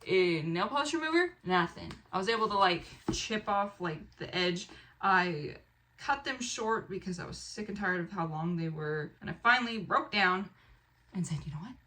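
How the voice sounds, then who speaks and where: A woman vlogger chatting casually and excitedly to the camera, animated and rambling with expressive asides. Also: lowish voice, moderate pace. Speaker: book haul vlogger and fiction author.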